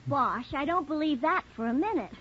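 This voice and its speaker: A vintage radio play with a pert young sweetheart. Her voice is high and perky, with a teasing manner. The recording is dull. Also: expressive, moderate pace. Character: perky, teasing young college-era girlfriend. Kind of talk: 1940s radio drama acting.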